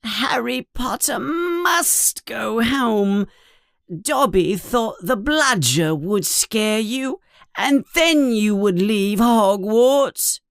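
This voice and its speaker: A woman voicing a small, timid, tearful male house elf who talks in a squeaky, pleading, servile way. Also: expressive, extremely slow, no room sound, clean studio sound, heavily acted.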